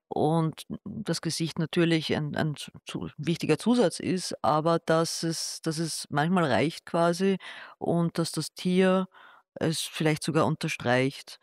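A man's voice: fairly high pitch, dry recording, studio quality. A man talking about his own artwork in an interview, thoughtful and hesitant, often restarting his sentences. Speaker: artist showing his exhibition. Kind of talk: gallery interview.

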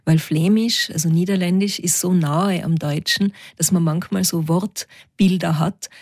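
A woman with a Tyrolean Austrian accent, a storyteller answering interview questions in a lively, reflective way. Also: fairly low pitch, unhurried, breathy edge, no room sound, clean studio sound. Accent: Tyrolean Austrian German.

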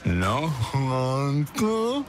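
A man reading a playful radio commercial in the manner of a warm-up coach. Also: mid-range voice, huge pitch swings, drawn-out words, soft background music. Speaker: advert voice-over.

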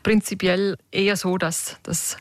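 Gravelly-voiced Tyrolean woman explaining. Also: medium pitch, level tone, a little slow, dry recording. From Paznaun valley, Tyrol, Austria. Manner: thoughtful, explanatory, frequent hesitations. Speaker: dialect researcher. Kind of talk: radio interview.

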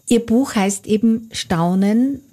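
Warm-toned curious female interviewer. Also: fairly high pitch, takes it slowly. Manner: curious, probing, slightly hesitant. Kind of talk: interview questions.

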